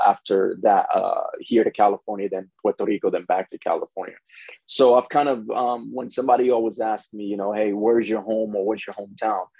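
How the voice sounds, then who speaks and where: Gravelly, low-voiced man in conversation. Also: a little mellow, dry recording, rough recording. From born in Ghana, grew up in the United States from preteens. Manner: frequent "you know", affirming interjections. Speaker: pastor, podcast guest. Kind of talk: conversational interview talk.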